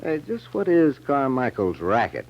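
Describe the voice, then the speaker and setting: An actor playing a cool, brisk private detective with an American accent in a 1940s radio drama. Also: male voice, mid-range voice, very animated. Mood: businesslike. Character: cool, businesslike private detective working undercover. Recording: dull, noisy 1940s radio recording.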